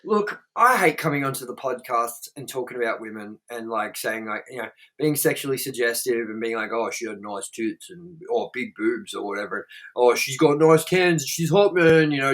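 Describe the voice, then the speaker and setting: A man co-hosting a podcast in loose, rambling casual chat. He swears freely and keeps circling back with fillers while he works out his point. His voice sits at an ordinary middle pitch. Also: lively intonation, normal speed, few pauses, a bit bright. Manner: rambling, profane, with lots of fillers. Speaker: podcast co-host.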